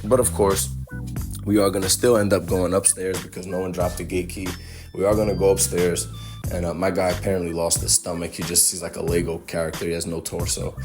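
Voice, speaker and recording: A young man with a slightly hoarse voice from a cold, giving a laid-back, chatty game walkthrough full of fillers. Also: low-pitched, flat delivery, brisk, music in the background. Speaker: gaming guide creator. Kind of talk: casual game walkthrough commentary.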